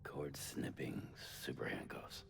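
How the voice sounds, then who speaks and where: A man acting as a gruff, taciturn hero in a video game, muttering tersely in a gravelly, breathy voice. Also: rumbling low, takes it slowly, in a whisper, full character voice. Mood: dry, wary. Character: gruff, taciturn adult male courier hero.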